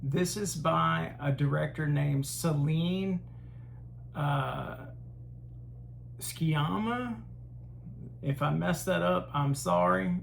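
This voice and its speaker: A man hosting a casual film collection review, talking haltingly with frequent pauses and fillers. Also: on the high side, vocal fry, a little hiss or hum. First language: English. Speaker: host.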